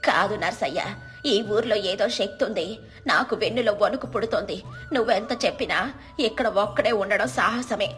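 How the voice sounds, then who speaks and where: A woman's voice: medium pitch, moderate pace, a bit bright, soft background music, natural voice. A woman voicing a timid, worried old village woman who sells vegetables and complains fretfully.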